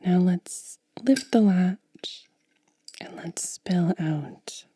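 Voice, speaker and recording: A woman doing soft-spoken ASMR, mostly whispering in a gentle, breathy, casual way as she narrates a hands-on demonstration close to the mic. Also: on the low side, dry recording. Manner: gentle, unhurried, casual commentary. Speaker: ASMR video creator and host. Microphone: close mic, dry.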